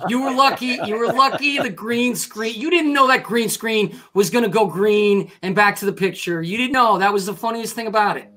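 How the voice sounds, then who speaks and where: Playful high-voiced male comedy host. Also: pushed and bright. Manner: playful, protesting, quick to laugh. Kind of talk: comedic video-call chat.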